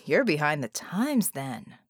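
A woman narrator puts on a sly male impostor for an audiobook. He is smooth, familiar and casually teasing. The voice sits a little low, with very varied pitch and a dry sound. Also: sing-song, slow, no room sound, heavily acted.

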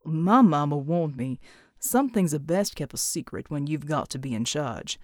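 A woman voicing a wry, weary, fatherly male commander with a folksy, unhurried delivery in an audiobook. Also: deep voice, lively intonation, a little mellow, dry recording, clean studio sound, voice pushed down.

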